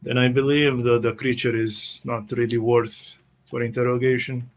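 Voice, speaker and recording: A man's voice: mid-range voice, level tone, moderate pace. A man role-playing at a tabletop game, hesitantly describing his character's actions and searching for words.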